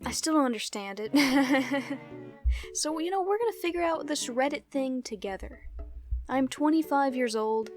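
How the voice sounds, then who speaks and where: A woman in her twenties rambling through a casual reaction vlog. Her voice sits a bit on the higher side, and she keeps breaking into giggles and self-deprecating asides. A faint bed of music plays underneath. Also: expressive, moderate pace. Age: 20s. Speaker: YouTuber.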